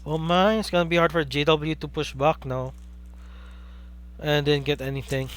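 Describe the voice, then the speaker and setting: A young man with a Filipino accent calls a card game live, his voice pitched a little above the usual for a man. He gets excitable and keeps asking rhetorical questions, now and then greeting viewers in chat. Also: normal speed, a little hiss or hum. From Philippines. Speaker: caster for a card game stream. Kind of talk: live play-by-play commentary.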